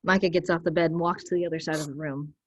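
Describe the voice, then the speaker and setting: Casting: female narrator for a play reading, lowish register, measured delivery of stage directions. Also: steady tone, muffled recording. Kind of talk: scripted narration.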